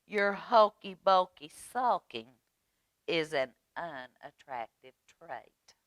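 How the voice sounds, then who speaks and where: Woman acting straight-talking male octopus, scolding, emphatic, storybook, lively pitch, dry sound. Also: takes it slowly, dry recording.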